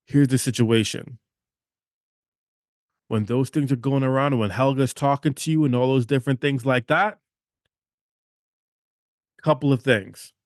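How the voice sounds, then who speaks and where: A man's voice: mid-range voice, fairly even intonation, a little slow, pauses often, dry recording, studio quality. A man hosting a motivational podcast, talking emphatically, repeating phrases and checking in with "okay?". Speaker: podcast host.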